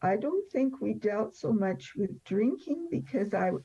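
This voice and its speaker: A woman's voice: medium pitch, expressive, unhurried, gravelly, mellow, breathy edge. A woman in her seventies telling personal stories as an interview guest, conversationally, in a candid, wry and emphatic way. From born in France. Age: 70s. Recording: dull, lo-fi sound.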